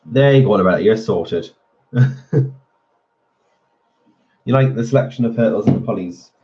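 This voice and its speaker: A man's voice: lowish voice, a little slow, warm tone, muffled recording. A man hosting a colouring channel, chatting casually with viewers while he colours, a little nasal as he gets over a cold. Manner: chatty, answering viewer comments. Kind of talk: casual live-stream chat while colouring.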